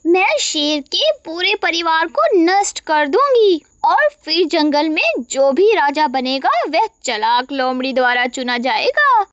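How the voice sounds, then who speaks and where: A cunning vixen from a children's cartoon, played by a woman. She speaks very high and swoops animatedly, coaxing like a sweet auntie while she schemes. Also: big pitch swings, unhurried, few pauses, lo-fi sound. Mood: vengeful. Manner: coaxing, sly. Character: cunning scheming female fox, sweet-talking auntie to cubs.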